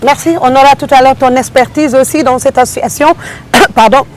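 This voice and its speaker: A woman's voice: high-pitched, fairly even intonation, moderate pace, a little hiss or hum. A woman hosting a panel interview, talking with frequent hesitations and fillers. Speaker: radio show host.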